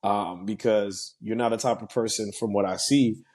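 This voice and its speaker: A man hosting a podcast in casual conversation, relaxed and full of fillers. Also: lowish voice, moderate pace. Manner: relaxed, filler-heavy, affirming. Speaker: podcast host.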